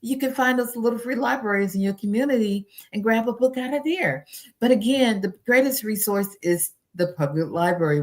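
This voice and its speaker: A woman's voice: mid-range voice, a little mellow. A middle-aged woman speaking as a guest expert, giving earnest, instructive advice in a conversational interview style. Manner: earnest, instructive, listing practical tips.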